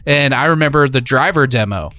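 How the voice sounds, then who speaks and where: A man's voice: medium pitch, fairly quick, sharp tone. A man in his thirties casually chatting about video games on a live stream, reminiscing enthusiastically in slangy, informal speech.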